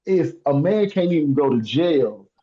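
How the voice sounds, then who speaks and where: A man's voice: fairly high pitch, lively intonation, normal speed, warm tone, lo-fi sound. A man talking heatedly in a casual podcast discussion, emphatic and laughing through his points. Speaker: podcast co-host.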